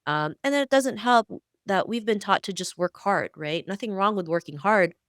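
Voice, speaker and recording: A woman's voice: mid-range voice, lively intonation, moderate pace, no room sound, clean studio sound. A woman guest in a podcast interview, speaking in an earnest, fluent, motivational way. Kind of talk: conversational interview.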